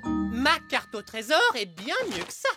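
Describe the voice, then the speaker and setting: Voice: medium pitch, big pitch swings, unhurried. A performer playing a proud, showy pirate captain in a cartoon, speaking in a grand, theatrical manner. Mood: swaggering. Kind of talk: cartoon voice acting in French. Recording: loud music under the voice.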